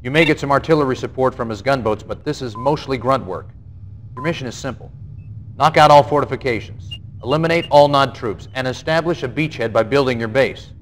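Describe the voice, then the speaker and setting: A man's voice: mid-range voice, brisk, natural voice. Man acting a clipped military commander, noisy audio. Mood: businesslike, urgent. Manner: clipped, authoritative, matter-of-fact. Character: brisk, gruff male military general briefing a commander. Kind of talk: acted mission briefing in a video game. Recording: noisy 1990s game video audio.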